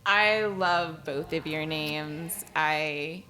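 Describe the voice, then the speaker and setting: Eine Frau als Podcast-Gastgeberin, die ihre Gäste herzlich bestärkt und oft zustimmend einwirft. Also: female voice, low-pitched, drawn-out words. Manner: warm, encouraging, frequent agreeing backchannels. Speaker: podcast host. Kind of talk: casual interview.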